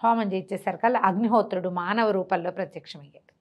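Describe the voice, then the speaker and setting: A woman storyteller with a mid-pitched voice narrates an old tale as if chatting to a listener. She throws in rhetorical questions and voices the characters' dialogue herself. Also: fairly even intonation, fast, muffled recording. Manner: conversational, asks rhetorical questions, acts out dialogue. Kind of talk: animated oral storytelling of a traditional tale.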